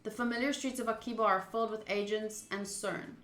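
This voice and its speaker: Female game streamer. Expressive read-aloud narration, bright crisp-edged tone, roomy echo on the recording. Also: medium pitch, fairly quick, soft background music. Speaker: streamer playing a visual novel. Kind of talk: dramatic read-aloud of game text with casual asides. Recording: roomy, echoing sound.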